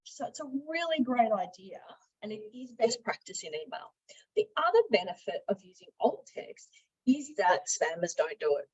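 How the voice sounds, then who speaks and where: An Australian woman presenting a conversational software training demonstration, explaining tips step by step and stressing the key points. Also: on the high side, big pitch swings, normal speed, breathy edge. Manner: explanatory, emphasising tips, checking in with "right?". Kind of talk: instructional screen-share presentation, conversational. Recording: dull, boxy, echoey room sound.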